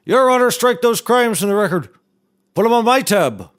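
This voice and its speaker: A man's voice: very high voice, big pitch swings, normal speed, a bit bright, clean tone, exaggerated voice. An actor playing a bumbling maintenance man turned lawyer in a scripted comedy, folksy and mock-formal, wandering off the point. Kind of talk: scripted comedy courtroom drama acting.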